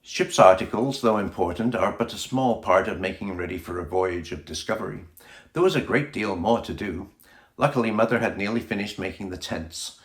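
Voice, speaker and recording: A male audiobook narrator with a fairly low voice. He reads a children's story from a script. The recording carries a distinct room echo. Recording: echoey room sound.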